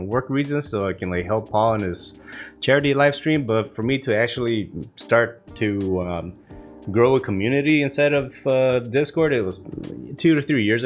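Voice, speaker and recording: A man with a bit of a cold, talking to camera in a casual, rambling vlog, swearing freely and full of fillers. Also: medium pitch. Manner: rambling, profane, many fillers. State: coming down with a cold. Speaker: vlog host. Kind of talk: casual morning vlog talk. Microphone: camera mic.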